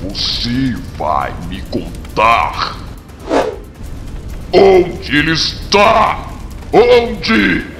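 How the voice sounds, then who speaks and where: Comic villain: menacing alien warlord played by a man, Brazilian, bellowing slowly in heavy reverb. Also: on the high side, wildly swooping pitch, pitched above the performer's own voice, full character voice. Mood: menacing rage. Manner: drawn-out shouted threats. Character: raging male alien tyrant, menacing and ruthless. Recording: long reverb, music behind.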